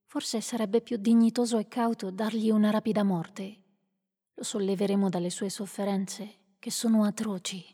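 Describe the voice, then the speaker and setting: A woman's voice: medium pitch, moderate pace, husky. A woman voicing a cool, ruthless sorceress. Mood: cold. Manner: calm, clinical. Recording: long hall reverb.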